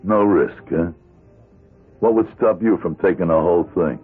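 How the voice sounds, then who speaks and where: Muffled old radio play, deep male voice, actor playing middle-aged American ex-con, gruff, weary, guarded, sardonic. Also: moderate pace, lightly acted. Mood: wary, sardonic. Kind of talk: radio drama acting. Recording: band-limited radio drama recording with music.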